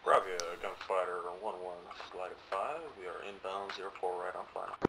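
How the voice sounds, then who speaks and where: Noisy radio, male pilot, even monotone, terse phraseology, military flight game. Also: low-pitched, fairly quick, vocal fry. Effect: radio filter. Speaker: helicopter pilot in an online military simulation game. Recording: noisy, distant, crackly game radio link.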